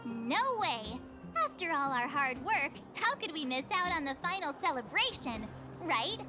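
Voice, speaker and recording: Game heroine: feisty teen girl, very high pitched, bouncy melody, music behind. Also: big pitch swings, measured pace. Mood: excited, feisty. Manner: breezy, emphatic. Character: perky, feisty, outspoken teenage girl adventurer. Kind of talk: video game voice acting.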